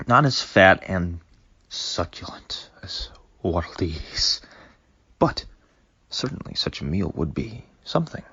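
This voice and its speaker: Deep husky man reading a story. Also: pushed and bright, no echo, highs cut off. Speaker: fanfic reader. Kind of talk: reading a fanfic aloud.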